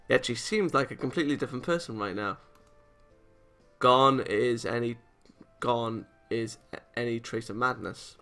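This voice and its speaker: Male let's play host, bright, forceful voice, animated reactions while narrating a visual novel, music underneath. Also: mid-range voice. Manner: animated, exasperated outbursts.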